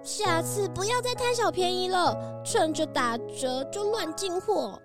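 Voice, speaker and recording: A woman's voice: very high-pitched, lively intonation, measured pace, over music, full character voice. A woman voicing a childlike, picky animal shop worker in a children's audio drama, with a whiny, emphatic delivery. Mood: chiding.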